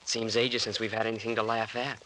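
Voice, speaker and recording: Earnest young radio hero, bright low voice. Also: male voice, level tone, fast. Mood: reassuring. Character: earnest, worried young man, protective of his companion. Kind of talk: 1940s radio acting. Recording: hissy old-time radio recording.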